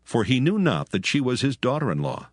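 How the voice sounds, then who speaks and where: A man narrating an archaic scripture text in a measured, solemn reading. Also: medium pitch, big pitch swings, no room sound, clean studio sound.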